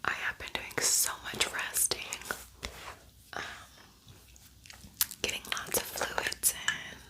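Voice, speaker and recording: A woman speaks in a soft, breathy whisper, hosting ASMR. Her delivery is very slow and lingering, and she often repeats a word gently. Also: rough recording. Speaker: ASMR host doing a makeup tutorial.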